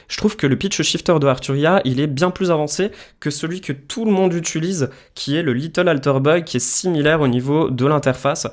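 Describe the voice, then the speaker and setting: A man presenting a music production tutorial, explaining things informally, step by step. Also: on the high side. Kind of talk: casual screen-share software tutorial.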